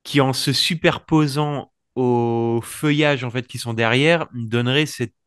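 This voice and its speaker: A man's voice: mid-range voice, fairly even intonation, a little slow, a bit bright, clean tone, no room sound. Man, co-host, casual chat, hesitant, fillers, dry recording. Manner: hesitant, many fillers and restarts. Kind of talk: casual discussion.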